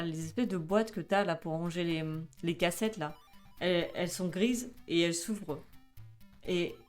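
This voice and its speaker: A woman in her twenties chatting casually on a live stream, with many fillers, reading chat aloud and laughing often. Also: medium pitch. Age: 20s.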